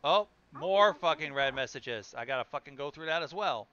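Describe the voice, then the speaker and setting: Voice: mid-range voice, animated, normal speed. A person chatting casually while reacting to a video and reading its text aloud, swearing casually. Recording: dull lo-fi mic, loud music behind. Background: music.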